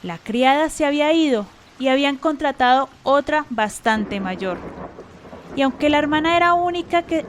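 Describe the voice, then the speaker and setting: A woman's voice: high voice, slight background noise. A woman narrator telling a scripted story for a radio drama.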